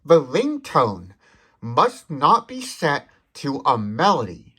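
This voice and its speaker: A man demonstrates a product step by step with a husky, slightly rough voice. His intonation swings widely, and he explains each point methodically and precisely. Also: on the high side, huge pitch swings, slow pace, fairly bright tone. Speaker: tech demonstrator.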